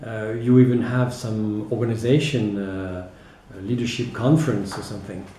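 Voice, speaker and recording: A man's voice: fairly low pitch, steady tone, moderate pace, warm tone, slight background noise. A man with a French accent giving reflective, explanatory interview answers as a teacher, speaking hesitantly and often checking in with a short tag. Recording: echoey, roomy sound.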